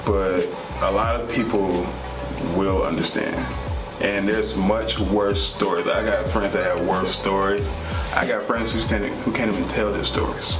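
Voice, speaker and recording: A man's voice: low-pitched, fairly even intonation, a little slow. A man co-hosting a vlog, giving candid, conversational commentary in an earnest, plain-spoken way. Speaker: vlog co-host. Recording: roomy echo, music underneath.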